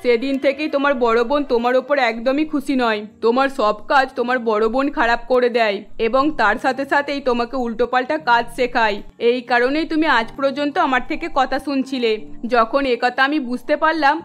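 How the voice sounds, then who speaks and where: A woman's voice: sits high, steady tone, moderate pace, close to an everyday voice. A woman playing a stern older mother-in-law in a cartoon, speaking in an emphatic, scolding way. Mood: earnest. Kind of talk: Bengali cartoon voice acting.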